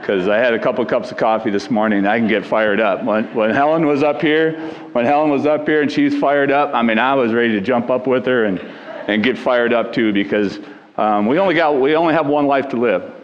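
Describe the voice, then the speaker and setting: An older or middle-aged American man with a loud voice, giving an informal sermon as a lay preacher in a plain-spoken, earnest, conversational way. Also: medium pitch, fairly quick, a little hiss or hum. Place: large echoing church hall. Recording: dull muffled audio, long hall reverb.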